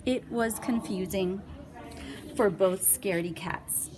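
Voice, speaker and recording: A woman's voice: medium pitch, slow, background noise. A woman reading a children's picture book aloud with playful, dramatic emphasis and little asides. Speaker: read-aloud storyteller.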